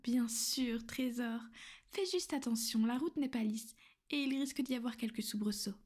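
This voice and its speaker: A woman playing a gentle but possessive vampire noblewoman, speaking softly and often in a whisper for an ASMR roleplay. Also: on the high side, normal speed. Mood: whispered, caring. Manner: soft, soothing, often whispered.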